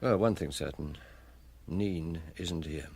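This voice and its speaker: An actor playing a shrewd, unflappable police chief inspector, wry and thoughtful, in a radio drama. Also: male voice, deep voice, slow pace, creaky, a little mellow, husky, exaggerated voice. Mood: musing, wry.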